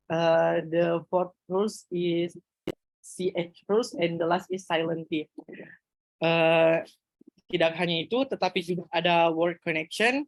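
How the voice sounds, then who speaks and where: A woman moderating an online class, reading a lesson summary in a hesitant, halting way with many fillers. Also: on the low side, dry recording, rough recording. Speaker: student moderator.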